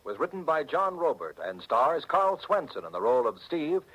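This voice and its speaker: A man's voice: on the high side, big pitch swings, moderate pace. A man as a dramatic 1940s radio show announcer. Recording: faintly noisy old radio broadcast.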